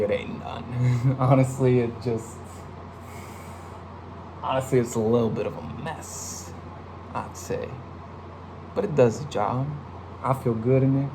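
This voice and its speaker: A man's voice: mid-range voice, a little mellow, slight background noise, poor audio. A young man giving a casual, reflective personal update from his home studio, with many fillers and restarts. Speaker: vlogger and musician.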